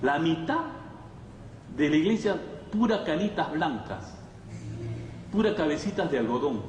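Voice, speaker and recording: Male preacher, Latin American Spanish accent, slightly high voice; storytelling sermon with emphatic repetition, picked up at a distance in a hall. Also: animated, unhurried. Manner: emphatic, repeats phrases, rhetorical questions. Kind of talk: sermon, conversational storytelling to a congregation. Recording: echoey hall, distant mic, faint noise.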